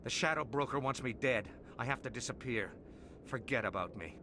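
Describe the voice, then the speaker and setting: An actor playing a shifty, cowardly crime boss in a video game. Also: male voice, medium pitch, normal speed, lightly acted. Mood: defensive. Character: cowardly, shifty male crime boss, pleading for his life. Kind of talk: video game villain acting. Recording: clearly noisy game ambience behind.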